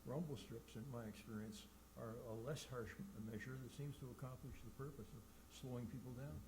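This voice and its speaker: A male town councillor putting questions at a meeting in a measured, reasoning way. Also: medium pitch, noisy background.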